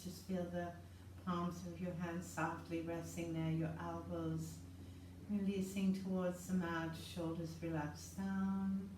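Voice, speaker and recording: A woman instructor guiding a yoga class with slow, soothing, calm cues. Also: on the low side. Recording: roomy, distant mic with faint noise.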